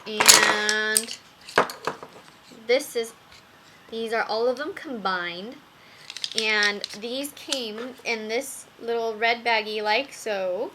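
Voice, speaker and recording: A young woman reviewing makeup brushes in a casual vlog, talking slowly with many ums while her voice wears out. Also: a little high, noisy background. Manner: slow, with many ums and fillers. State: losing her voice. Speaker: beauty vlogger.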